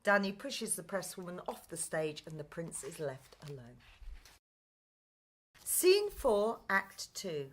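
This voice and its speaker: A woman's voice: medium pitch, fairly bright tone. A woman giving scripted narration of stage directions for a play.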